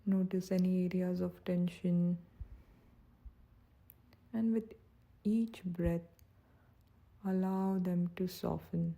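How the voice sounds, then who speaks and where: A woman narrates a guided meditation in a very mellow, soft and breathy voice. Her pitch sits a little low and stays quite even. She speaks gently and slowly, with a soothing, unhurried manner.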